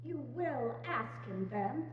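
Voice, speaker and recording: A frustrated, pleading wife, acted by a woman, insistent and emotional, with a high, widely swinging voice. The stage reading echoes in a dull-sounding hall. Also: animated, takes it slowly, slight background noise. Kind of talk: theatrical dramatic reading.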